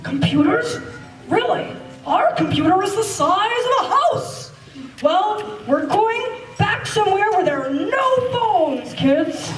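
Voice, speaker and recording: A woman's voice: very high voice, lively intonation, slow pace, heavily acted. A woman playing an eccentric, eager time-travelling scientist in an amateur stage radio play, with a theatrical, exclamatory delivery. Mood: puzzled, amazed. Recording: echoey stage, distant mic, music behind.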